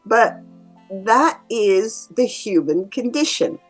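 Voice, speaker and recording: A woman who works as a psychic reader and medium, explaining in a calm, measured way to her viewers. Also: mid-range voice. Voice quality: calming voice. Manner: calm, measured explaining with lively rises and drops. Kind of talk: casual explanatory talk to camera. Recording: faint music behind. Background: faint music.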